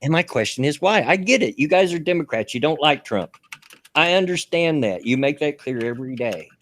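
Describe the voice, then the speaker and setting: A man's voice: a little high, animated, a little fast. A man with a Southern US accent chatting casually as a podcast host, stammering through restarts and chuckling often. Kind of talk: casual political chat.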